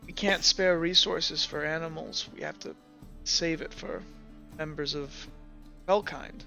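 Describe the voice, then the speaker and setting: Stern yet caring female commander, played by a male game master, grave and halting, slightly high, bright tone. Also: vocal fry, soft background music, heavily acted. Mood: grave, gentle.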